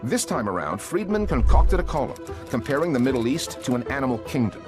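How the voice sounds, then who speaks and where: A man delivers scripted documentary narration in a measured, pointed tone. A dry irony runs through his read. Music plays clearly behind him. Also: medium pitch, sing-song.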